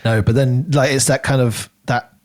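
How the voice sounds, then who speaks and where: A man's voice: mid-range voice, steady tone, moderate pace, a little mellow. A man co-hosting a podcast, talking through a book in a casual, conversational and rambling way. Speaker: podcast co-host reviewing a book.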